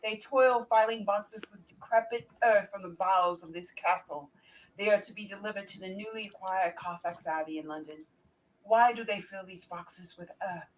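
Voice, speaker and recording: A woman playing an earnest young English solicitor held captive, reading his journal gravely and dramatically for a radio play. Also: mid-range voice, normal speed, a little hiss or hum, natural voice, rough recording. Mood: ominous, puzzled.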